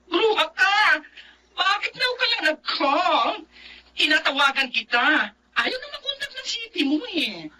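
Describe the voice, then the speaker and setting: An actress playing an excitable young woman cousin in a radio drama, scolding and pleading. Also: very high voice, a little slow, a little hiss or hum, exaggerated voice, low-quality recording.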